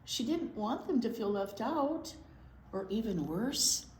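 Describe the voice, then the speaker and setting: Slow, animated woman reading a picture book. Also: on the high side. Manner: animated, pauses to wonder aloud and question young listeners. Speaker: children's storytime reader at a library. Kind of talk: expressive read-aloud picture book storytelling with asides. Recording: roomy echo with clear background noise.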